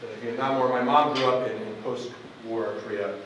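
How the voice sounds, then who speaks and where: A man's voice: medium pitch, normal speed. Man lecturing in an echoey hall. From Buffalo, New York. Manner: relaxed, self-deprecating, frequent ums and restarts. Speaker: university professor. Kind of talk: public lecture with slides. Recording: echoey hall from far off with noise.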